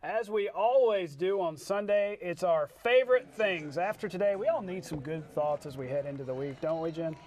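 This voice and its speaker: High-pitched man hosting live sports TV. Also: normal speed, hardly any pauses, darkish tone. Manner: breezy, joking, conversational. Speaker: TV sports show host. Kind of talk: live sports show presenting and banter.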